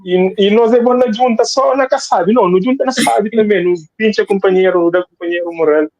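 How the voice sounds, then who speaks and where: A man's voice: sits high, a little fast, darkish tone, dry recording, highs cut off. A man from Cape Verde, talking casually as a guest author, rambling and repeating phrases for emphasis. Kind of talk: casual interview talk.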